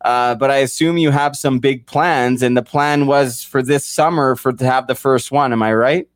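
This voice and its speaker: A man's voice: mid-range voice, fairly even intonation, moderate pace, dry recording, studio quality. A man hosting an interview, rambling and repeating words as he frames his questions. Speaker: podcast host. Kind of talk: casual interview chat.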